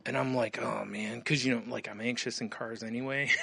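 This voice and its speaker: A man with a bright, forceful voice tells a loose, rambling story on a podcast. His voice sounds close and dry, with no room around it. He stops for filler words and laughs often. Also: mid-range voice, steady tone, normal speed, creaky, breathy edge, no room sound. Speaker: podcast co-host. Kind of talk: casual chat.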